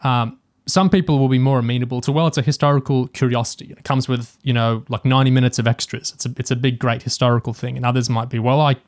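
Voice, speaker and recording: Man, podcast co-host, medium pitch, casual discussion, chatty, analytical, enthusiastic. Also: fairly even intonation, quick pace. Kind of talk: casual discussion of audio drama and TV.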